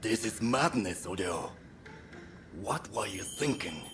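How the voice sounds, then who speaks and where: Cutscene physician: grave, blunt, compassionate underneath, deep register and unhurried pace. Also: male voice, animated, fairly bright tone, a little hiss or hum. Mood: gruff, weary. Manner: measured, blunt. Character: grave, principled male doctor, gruff but compassionate. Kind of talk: video game cutscene acting.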